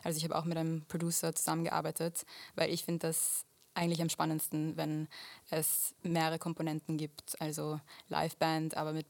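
A woman's voice: low-pitched, monotone, brisk, creaky, a little mellow, no echo. A young woman from Vienna, a musician answering radio interview questions thoughtfully and searching for words as she goes. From Vienna, Austria. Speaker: musician guest. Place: radio studio.

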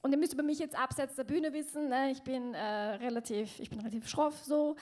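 A woman's voice: sits high, fairly even intonation, a little fast, a little mellow. A young woman doing self-mocking stand-up with hesitant fillers. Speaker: stand-up comedian. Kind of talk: stand-up comedy set. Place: comedy club stage.

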